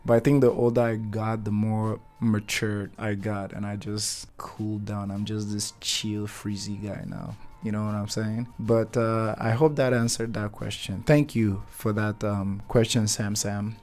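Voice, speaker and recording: A young man from Nigeria, a painter hosting his own channel, answering viewer questions in a relaxed, chatty way. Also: fairly low pitch, unhurried, hardly any pauses, faint music behind. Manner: relaxed, chatty, laughs and rambles a bit. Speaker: YouTube channel host, painter. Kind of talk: casual Q&A chat to camera.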